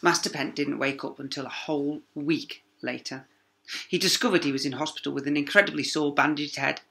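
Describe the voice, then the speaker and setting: A man's voice: a little high, steady tone, gravelly, sharp tone. A man reading a children's story aloud like a primary teacher, dramatic and emphatic. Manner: dramatic, with emphatic pauses and sound words.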